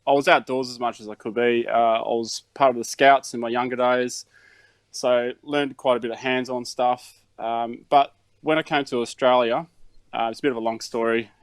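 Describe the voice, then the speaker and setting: A man's voice: medium pitch, steady tone, moderate pace, smooth, no room sound. Middle-aged Danish-born man, laid-back interview guest, dry close mic. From Denmark. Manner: laid-back storytelling with fillers and chuckles. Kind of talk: casual chat.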